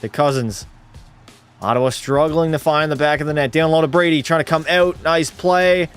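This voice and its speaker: Male streamer calling hockey and chatting with viewers, a touch high-pitched, laid-back delivery. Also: moderate pace, smooth, soft background music. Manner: relaxed, drops into terse hockey call mode. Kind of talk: casual play-by-play commentary and chat reading.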